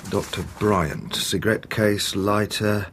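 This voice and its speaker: A blunt British chief inspector with an English accent and a fairly low voice. He is matter-of-fact and dryly joking, in radio drama style, over light background noise. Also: slow pace, fairly bright tone. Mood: businesslike. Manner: matter-of-fact, wry, colloquial.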